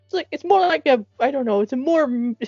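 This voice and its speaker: High, playful Irish woman, dry recording. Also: lively intonation, fast talker, creaky, darkish tone, no room sound, muffled recording. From Ireland. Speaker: co-host of a fan tribute chat. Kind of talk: casual fan chat. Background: music.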